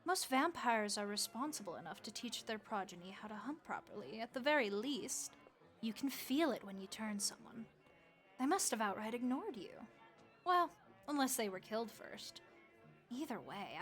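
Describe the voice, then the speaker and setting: Woman voicing a friendly high-voiced vampire innkeeper. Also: lightly acted. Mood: sympathetic, mentoring. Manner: chatty, gentle, reassuring. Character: friendly, motherly female vampire innkeeper, reassuring. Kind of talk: audio roleplay addressed to the listener.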